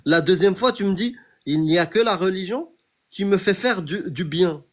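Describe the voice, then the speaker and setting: A man's voice: high voice, animated, normal speed. Insistent man arguing his case. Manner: challenging, insistent, calls the other "frérot". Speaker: host of a debate stream. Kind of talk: heated debate on religion.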